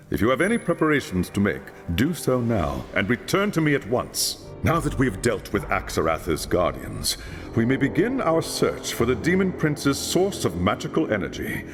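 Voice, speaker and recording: An actor playing a solemn, commanding older male mentor who briefs a hero in a fantasy video game, speaking gravely. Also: lowish voice, in a large hall, heavily acted.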